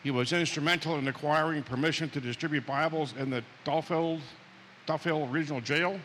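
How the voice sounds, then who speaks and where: Pennsylvania guy introducing the speaker, kinda rambly, bright voice, big echoey church. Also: on the high side, brisk. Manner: rambling, conversational. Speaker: local ministry member introducing guest. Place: church sanctuary. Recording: reverberant church hall, faint hiss.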